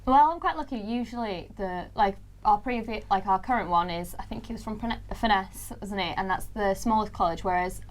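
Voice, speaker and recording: A woman's voice: mid-range voice, a little fast, few pauses. Young woman, Welsh accent, student leader, big confident voice, chatty and laughing. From Wales. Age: young adult. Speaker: student union president-elect. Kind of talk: casual studio interview.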